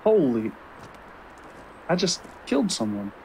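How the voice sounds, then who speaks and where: An actor playing a brave, earnest stage magician in an audio drama. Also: male voice, on the high side, big pitch swings, slow pace, gravelly, mellow, slight background noise, heavily acted, poor audio. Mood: shocked.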